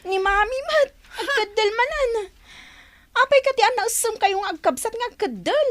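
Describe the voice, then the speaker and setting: An actress playing a whiny, defiant child in an Ilocano radio drama, whining with an Ilocano accent. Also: female voice, very high voice, animated, normal speed, fairly bright tone. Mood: whiny, protesting.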